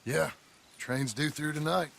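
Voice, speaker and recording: An actor playing a sour American outlaw gunman in a western video game, gravelly, curt and sarcastic. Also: a little high, a little slow, a little hiss or hum. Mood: businesslike. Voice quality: raspy, gravelly. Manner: sulky, sarcastic. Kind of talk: video game cutscene acting. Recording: loud music over dialogue.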